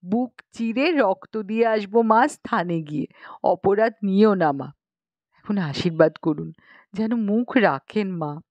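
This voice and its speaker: A woman's voice: mid-range voice, unhurried, a little mellow, no room sound, muffled recording, close to an everyday voice. A woman voicing a pious, chatty young village matron in an audiobook, explaining things knowingly in colloquial rural Bengali speech with exclamations. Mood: devout, earnest. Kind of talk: audiobook character dialogue.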